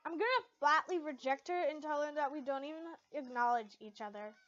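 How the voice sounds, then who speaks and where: A woman's voice: sits high, brisk, fairly bright tone, dull audio. A woman voicing a brash, blunt teenage boy with a casual, teasing manner in an amateur story reading.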